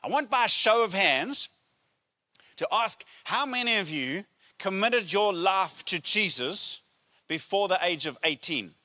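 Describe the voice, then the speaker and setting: A middle-aged man, a pastor preaching a sermon with emphatic, deliberate phrasing and pauses, speaking into a handheld microphone. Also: high voice, lively intonation, sharp tone. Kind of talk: sermon to a congregation. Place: church auditorium stage, indoors.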